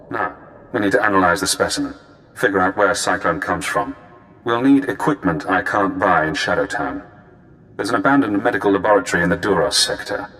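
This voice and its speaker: An actor playing a cool, cunning spy handler in a video game, speaking in measured, cerebral, clipped phrases. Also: male voice, low voice, a bit bright, poor audio. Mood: cool, appraising.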